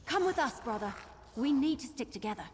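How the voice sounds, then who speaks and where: Excitable high-voiced young adventurer heroine. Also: female voice, fairly quick, a little hiss or hum. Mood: urgent, resolute. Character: earnest, excitable young female archer adventurer. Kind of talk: video game voice acting.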